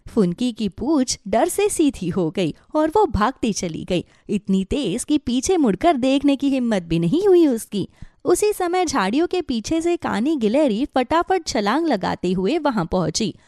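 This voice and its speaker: Woman, scripted storybook narration, highish pitch, lively intonation, dry studio sound. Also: unhurried, dry recording.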